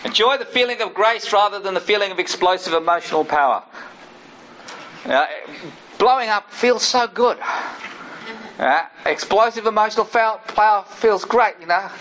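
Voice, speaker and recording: A man's voice: high voice, normal speed, pauses often, fairly bright tone, a little hiss or hum, rough recording. A man teaching an informal sermon, talking conversationally with personal asides and frequent checks with his listeners. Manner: personal asides and self-disclosure.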